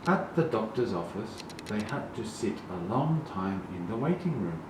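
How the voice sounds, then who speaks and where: A man reading a children's picture book aloud in a deliberate, animated way, with emphasized sound words. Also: fairly low pitch. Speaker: storybook reader. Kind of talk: expressive children's read-along narration. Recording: very echoey, distant, noisy room.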